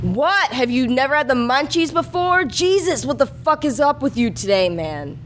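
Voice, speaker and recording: A woman voicing a crude, loudmouthed young male stoner who swears and talks in slang, in a live comic story reading. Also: sits high, expressive, normal speed, slight background noise, voice pushed up. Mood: demanding.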